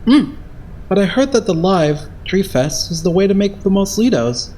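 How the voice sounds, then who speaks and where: Woman acting mellow, practical young idol. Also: medium pitch, animated, moderate pace, slight background noise, natural voice. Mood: thoughtful, practical. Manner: matter-of-fact, thoughtful. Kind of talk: anime game fan dub acting.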